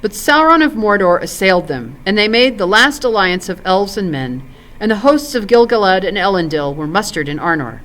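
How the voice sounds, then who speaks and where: Female narrator for literary read-aloud: measured, expressive, with widely moving pitch. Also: rough recording. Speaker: volunteer story reader. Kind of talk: scripted storybook narration.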